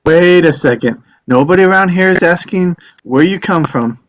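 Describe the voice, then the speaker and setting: Guy reading earnest fair-minded juror in a play, pretty high voice, plain-spoken. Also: expressive, normal speed, poor audio. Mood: earnest protest. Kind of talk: amateur table read of a stage drama.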